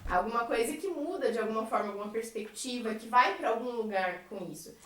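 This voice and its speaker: A woman's voice: fairly high pitch, brisk, fairly bright tone, slight background noise. A young Brazilian woman in casual podcast conversation, explaining things with frequent conversational tags. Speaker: member of a science theatre group. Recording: echoey room, distant from microphone.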